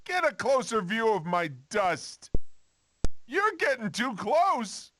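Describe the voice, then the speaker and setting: An excitable, cocky cartoon video game character, high-pitched and swooping wildly. The short shouts are drawn out and taunting, over faint noise. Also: female voice, slow, heavily acted. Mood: taunting, alarmed. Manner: exclamatory, taunting.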